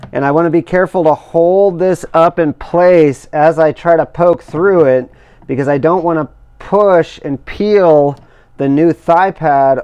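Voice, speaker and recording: Middle-aged guy explaining how to fit gear, careful and measured, clean voice a bit on the high side. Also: darkish tone, smooth, muffled recording. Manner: careful, measured explaining while working with his hands. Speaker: instructor demonstrating kayak outfitting. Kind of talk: step-by-step how-to demonstration.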